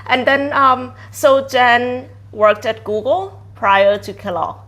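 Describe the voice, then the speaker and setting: A woman's voice: on the high side, takes it slowly, a bit bright. A woman hosting an interview, introducing her guest and asking short questions. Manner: brief questions and short backchannels. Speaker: interview host. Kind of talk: casual interview conversation.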